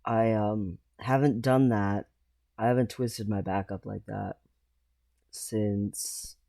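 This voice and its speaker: A man talking casually in a personal vlog, rambling and halting, with frequent pauses. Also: fairly low pitch, steady tone, gravelly, warm tone, dry recording, clean studio sound. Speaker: vlogger.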